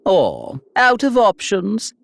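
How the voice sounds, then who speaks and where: A man's voice: high voice, huge pitch swings, slow, gravelly, dry recording, full character voice. A man playing a haughty, sadistic alien emperor in a video game, speaking with ornate, mocking politeness. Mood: smug, cold. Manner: theatrical, mocking, ornate wording. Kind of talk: video game villain acting.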